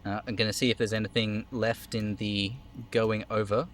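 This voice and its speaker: A man streaming a role-playing game, reading it aloud in a theatrical, expressive way with casual asides. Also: on the low side, normal speed, a little hiss or hum.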